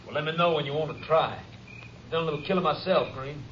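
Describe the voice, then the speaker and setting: Actor as scornful, high-pitched crime boss. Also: male voice, fast talker, fairly bright tone, close to an everyday voice. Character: cold, scornful, smug male crime boss. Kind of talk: 1950s radio crime drama acting. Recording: very noisy, dull vintage radio recording.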